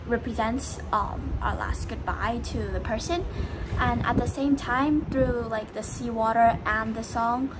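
A teenage girl from Hong Kong, presenting a school project in a chatty, energetic way with lots of filler words. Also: fairly high pitch, noisy background. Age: teens. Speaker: student presenter. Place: indoor room and school corridor. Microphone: phone camera at arm's length.

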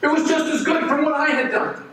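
A man's voice: high voice, a little slow, fairly bright tone, faint music behind. A man performing a first-person dramatic monologue as a resentful, jealous young farmer, speaking with impassioned, ranting intensity. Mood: indignant. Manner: impassioned, swings from brooding to ranting. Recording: very echoey hall, voice from far off, faint noise.

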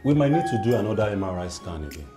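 An actor playing a reassuring, devout doctor in a screen drama. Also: male voice, on the low side, very animated, fast talker. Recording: music clearly behind the voice.

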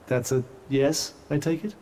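A man's voice: mid-range voice, fairly even intonation, a little slow, mellow, a little hiss or hum, close to an everyday voice. A man playing an urbane magical talking cuff in a video game, with a formal, drily teasing manner. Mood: dry, teasing. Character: urbane, dry-witted talking magical cuff, male companion.

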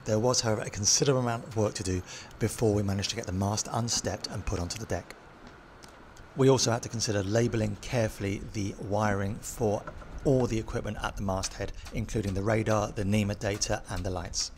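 A middle-aged man who co-hosts a sailing vlog as skipper, talking in a relaxed, easygoing way and narrating the boat work. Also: fairly low pitch, fairly quick, slight background noise. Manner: relaxed, easygoing, trails off mid-sentence. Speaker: skipper and co-host. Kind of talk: casual chat and voice-over narration.

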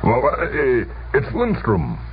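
A man's voice: medium pitch, wildly swooping pitch, slight background noise, voice pushed up, exaggerated voice. A man voicing a weary, uncertain army general who speaks hesitantly in a radio story. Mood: uncertain, troubled. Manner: hesitant, halting, stammering. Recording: dull lo-fi old radio recording.

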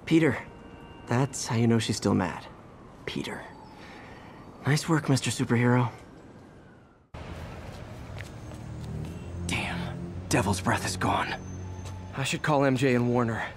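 An actor playing a young, quippy, well-meaning superhero in a video game, awkward and self-deprecating. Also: male voice, mid-range voice, moderate pace, long pauses, over music, close to an everyday voice. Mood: rueful. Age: young adult. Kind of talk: naturalistic video game acting.

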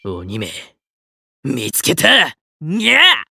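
A man voicing a cheeky, cat-cursed young warrior in an anime game, brash and rough with cat-like tics. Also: mid-range voice, huge pitch swings, very slow, pushed and bright, no room sound, studio quality, full character voice. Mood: brash, playful.

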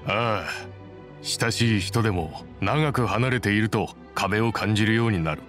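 A man's voice: lowish voice, unhurried, over music, lightly acted. An actor playing a modest, dependable craftsman in an anime game, speaking in a casual, sincere and plain-spoken way. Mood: wistful. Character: modest, dependable adult male boat builder in anime game.

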